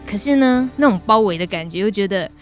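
A female radio host with a Taiwanese Mandarin accent speaks in a middle register. She leads a conversational discussion and often turns to her co-host, with quiet music underneath. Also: medium pitch, expressive, fast. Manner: guides the talk, checking in with co-host. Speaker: radio talk show host. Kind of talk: conversational commentary.

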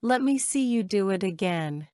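A woman reading short English phrases for a language lesson, saying each one slowly and carefully twice. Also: mid-range voice, lively intonation, mellow, dry recording, clean studio sound. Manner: measured, careful enunciation. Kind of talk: slow repeated drill phrases.

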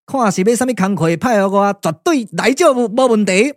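A man's voice: high voice, fairly quick, no room sound, studio quality, lightly acted. Man voicing a cheeky, grumbling Taiwanese comic magician. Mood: eager boasting. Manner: folksy, exclamatory, clownish. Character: cheeky, boastful, grumbling comic immortal magician, reluctant sidekick. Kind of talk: Taiwanese puppet radio drama.

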